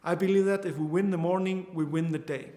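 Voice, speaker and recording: A man's voice: sits high, fairly even intonation, a little fast, mellow, reverberant. A man in his twenties from Iceland with an Icelandic accent, teaching an online course earnestly, often restarting his sentences. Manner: earnest, with frequent restarts and fillers. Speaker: course instructor.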